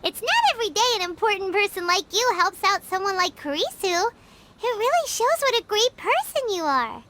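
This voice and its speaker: A woman's voice: very high voice, big pitch swings, moderate pace, bright, forceful tone, slight background noise, exaggerated voice. A woman voicing a tiny floating fairy companion who is bubbly and blunt in a video game. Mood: chirpy. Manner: bubbly, blunt, speaks of herself by name.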